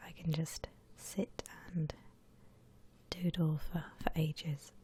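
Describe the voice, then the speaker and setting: A woman hosts an ASMR drawing tutorial in a breathy whisper. Her voice is soft-edged and low, and she explains each step gently and very slowly. A faint layer of noise sits behind her. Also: little pitch movement. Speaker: host of an ASMR drawing channel.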